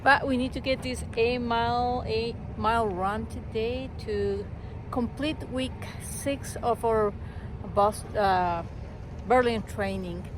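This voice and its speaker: A woman talks in relaxed, chatty bursts while she jogs beside a highway. Her voice sits high. Clear traffic noise runs behind her outdoors. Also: takes it slowly. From Chile. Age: 50s. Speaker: vlogger host. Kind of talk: casual chat while running.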